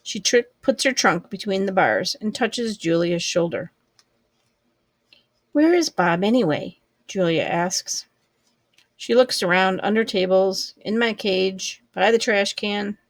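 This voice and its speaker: A woman reading a children's book aloud expressively, with deliberate, measured phrasing. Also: mid-range voice, no room sound. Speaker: storybook reader.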